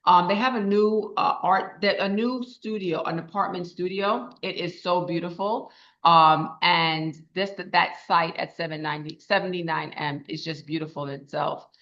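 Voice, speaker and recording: A middle-aged woman giving a chatty, enthusiastic spoken report at a council meeting. Her voice is raspy and tired after a long day. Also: fairly low pitch, a bit bright. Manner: enthusiastic, chatty, with frequent restarts and laughs. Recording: dull lo-fi meeting recording.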